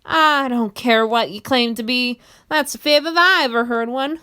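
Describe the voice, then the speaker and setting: A woman voicing a small excitable pony girl with a childlike voice. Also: fairly high pitch, normal speed, clear, resonant tone, no room sound, studio quality, voice pushed up. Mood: indignant. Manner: squealing, indignant, childlike. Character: excitable little filly, the family's youngest.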